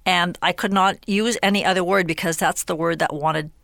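Woman poet, unhurried. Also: on the low side, fairly even intonation, vocal fry, fairly bright tone, dry recording, studio quality. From Western Canada. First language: French. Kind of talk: radio interview and poetry reading.

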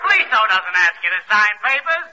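Forceful, lively radio pitchman. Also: moderate pace, dull audio, a little hiss or hum, exaggerated voice. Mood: hard-selling, exuberant. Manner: emphatic, declamatory salesman delivery. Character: slick, pushy radio commercial announcer. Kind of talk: 1940s radio advertising pitch.

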